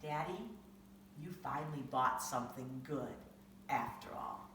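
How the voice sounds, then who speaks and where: A woman voicing a stubborn, cheeky little girl in a read-aloud storybook, high and pleading. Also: huge pitch swings, slow pace, pushed and bright, slight background noise, heavily acted. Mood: soft. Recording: echoey room, from far off.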